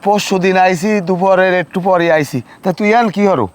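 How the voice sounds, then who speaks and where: A man's voice: high voice, brisk, natural voice. A man playing a kindly, honest village uncle in a drama, speaking colloquially with a Barisal accent from southern Bangladesh. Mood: earnest. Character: kindly, honest rural uncle, firm about repaying debt.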